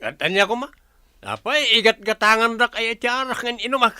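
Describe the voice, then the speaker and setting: Actor as lazy tippling village husband, Ilocano Filipino accent, whiny, argumentative, bright forceful tone, dry radio drama sound. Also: male voice, very high voice, animated, brisk, clean tone, no room sound, heavily acted. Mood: defiant. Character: lazy, hard-drinking husband, surly and defiant.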